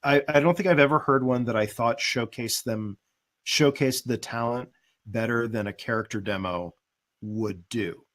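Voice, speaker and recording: A man speaking as a guest casting director, giving conversational advice in a halting, careful way. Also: medium pitch, a bit bright.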